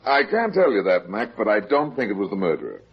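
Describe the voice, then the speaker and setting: Shrewd radio detective, hard-boiled, husky, highly animated intonation, muffled 1950s recording. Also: male voice, fast, full character voice. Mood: guarded, firm. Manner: terse, hard-boiled, insistent. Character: shrewd, steady male private detective protecting his clients.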